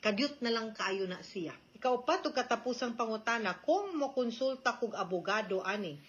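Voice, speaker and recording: A woman's voice: on the high side, lively intonation, moderate pace, muffled recording. A woman with a Cebuano Filipino accent hosts a legal advice show, explaining things informally and conversationally, with frequent tag questions and some English mixed in.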